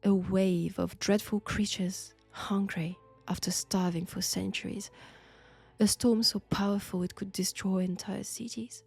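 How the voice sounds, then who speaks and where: A woman with a French accent playing a sinister, flirtatious archaeology professor possessed by an ancient god, deliberate and teasing in an intimate audio roleplay. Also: mid-range voice, steady tone, vocal fry, a little mellow, breathy edge, faint music behind. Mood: grave, ominous. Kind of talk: slow, intimate roleplay acting.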